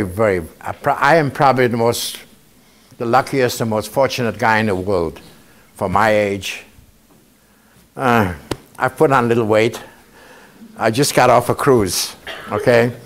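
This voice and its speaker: A man in his seventies, a Holocaust survivor, giving a conversational talk to students and often checking in with them by asking "okay?". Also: on the low side. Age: 70s. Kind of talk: informal testimony talk to a student audience. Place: indoor museum room.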